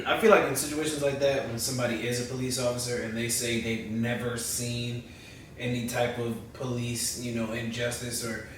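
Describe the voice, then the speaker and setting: A man's voice: medium pitch, fairly even intonation, normal speed, fairly bright tone, a little hiss or hum. A man hosting a reaction video, talking in a loose, chatty way and swearing casually. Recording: echoey room, mic far away.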